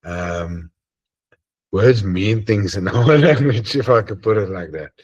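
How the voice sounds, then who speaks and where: A man with an Afrikaans accent and a deep voice, hosting an online group and chatting earnestly and hesitantly over a video call. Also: takes it slowly, darkish tone, muffled recording. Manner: hesitant, many ums and uhs, earnest. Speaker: host of an online assembly. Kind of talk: casual closing chat on a video call.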